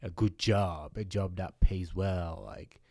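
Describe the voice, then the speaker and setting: A man's voice: low-pitched, fairly even intonation, slow, warm tone, no echo. A man hosting a casual podcast conversation, reflective and thinking aloud with many fillers. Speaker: podcast host.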